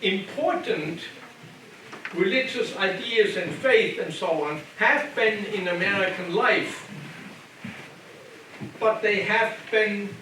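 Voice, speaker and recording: A man's voice: sits high, slow pace, long pauses, bright, forceful tone. An older man from Germany with a German accent, giving a church lecture in a conversational way, often repeating phrases and asking the room "okay?". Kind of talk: church adult education lecture. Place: church hall. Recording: very echoey hall, distant mic, background noise.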